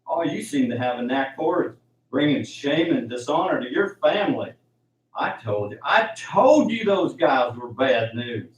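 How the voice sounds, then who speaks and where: Man acting a harsh, slow-spoken scolding father. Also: mid-range voice, animated, natural voice. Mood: scornful, angry. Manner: scolding, folksy drawn-out rural speech. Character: harsh, bitterly angry father berating his teenage son. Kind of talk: amateur radio drama acting. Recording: dull, roomy, distant, faint hiss.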